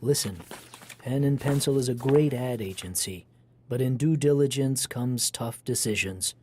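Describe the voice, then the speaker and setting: Man as scheming executive, audio comedy, medium pitch, smug, ingratiating, self-important. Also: measured pace, a little mellow, a little hiss or hum, natural voice. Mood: conniving. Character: smug, ingratiating, conniving male operations executive.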